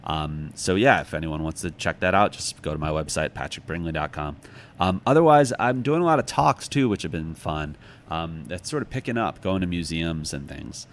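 Low-voiced American man, reflective. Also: lively intonation, normal speed. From United States, Chicago. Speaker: interviewed author. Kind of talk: casual interview conversation. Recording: clearly noisy background.

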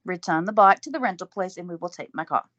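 Woman doing a giddy flustered young woman, lowish voice, dry close sound. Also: fast talker, dry recording, highs cut off. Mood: excited. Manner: excitable, flustered. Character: excitable nervous young woman in love. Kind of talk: amateur audio drama acting.

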